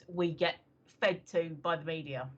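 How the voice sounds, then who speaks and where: A woman's voice: low voice, moderate pace. Hesitant woman around fifty, slightly lo-fi. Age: 50s. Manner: hesitant, full of ums, laughs easily. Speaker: co-host of a livestream talk show. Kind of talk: casual chat. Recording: slightly dull lo-fi audio.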